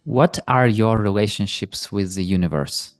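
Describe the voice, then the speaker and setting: A man's voice: on the low side, moderate pace, no room sound, faint music behind. A man hosting a podcast interview, asking curious follow-up questions. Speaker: podcast host.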